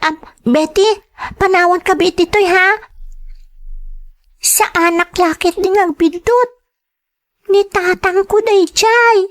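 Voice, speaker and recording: A woman plays a schoolgirl daughter in an audio drama, with a high, youthful voice. She speaks earnestly and politely, as a dutiful child. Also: fairly even intonation, slow, long pauses. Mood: urgent. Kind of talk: Ilocano audio drama acting.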